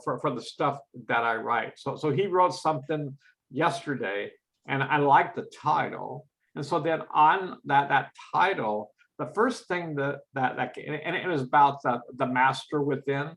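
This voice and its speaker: A man in a casual chat with a mellow voice who talks haltingly and repeats his words. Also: a little high, rough recording. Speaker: guest.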